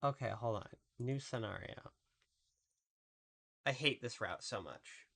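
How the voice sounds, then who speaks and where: A woman with a really deep voice, casually commentating a live game stream and chatting with viewers in a rambling, profane way. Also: a little slow, creaky, a bit bright. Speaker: VTuber streamer.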